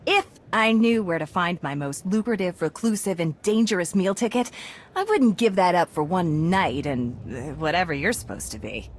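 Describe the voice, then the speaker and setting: An actress playing a brash, evasive underworld informant in a video game, with a flippant, sarcastic, casual way of talking. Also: female voice, lowish voice, animated, moderate pace.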